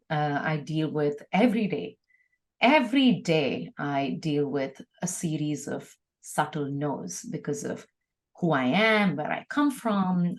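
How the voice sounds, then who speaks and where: A middle-aged woman tells personal stories as a podcast guest, acting out the exchanges in an animated way. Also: low-pitched. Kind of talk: personal storytelling in an interview.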